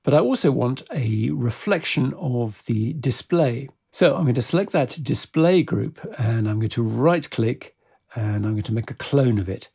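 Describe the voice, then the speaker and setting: A man giving a casual step-by-step software tutorial in a measured, explanatory way. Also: medium pitch, vocal fry, slightly rough.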